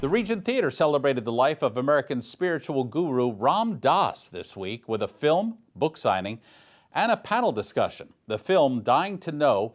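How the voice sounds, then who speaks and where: A man anchors a local newscast, reading from a script. His mid-pitched voice moves up and down in a lively, animated way as he delivers announcements. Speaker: news anchor. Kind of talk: scripted local news narration.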